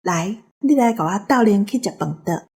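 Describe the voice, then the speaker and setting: An actress playing a practical, worn-out old village granny with a husky voice in a Taiwanese audio drama. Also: female voice, mid-range voice, lively intonation, moderate pace, a little mellow. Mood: matter-of-fact.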